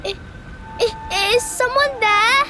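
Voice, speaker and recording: Scared little kid, tiny high voice, crying, slow halting pleas, loud music. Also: female voice. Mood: terrified, pleading. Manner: pleading, halting. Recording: loud game music behind voice.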